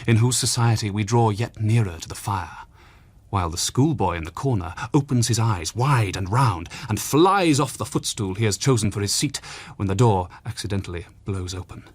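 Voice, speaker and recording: An actor playing an eloquent Victorian storyteller, reading literary passages in a measured, expressive and dramatic way. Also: male voice, lowish voice, slightly rough. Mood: eerie, atmospheric. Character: Charles Dickens, eloquent Victorian storyteller recounting memories. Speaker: reader of Dickens passages.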